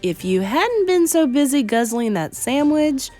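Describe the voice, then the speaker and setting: A woman's voice: high voice, sing-song, unhurried, a little mellow, soft background music, voice pushed up. A woman voicing an earnest, sensible young boy turned mouse, matter-of-fact and reassuring, in a storybook reading.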